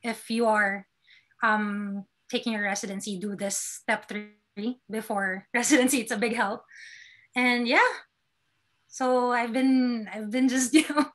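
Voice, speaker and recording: Higher-pitched young Filipino woman, casual guest. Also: fairly even intonation, a little slow, fairly bright tone. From Manila, Philippines. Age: young adult. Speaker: interview guest, newly matched doctor. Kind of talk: casual interview talk.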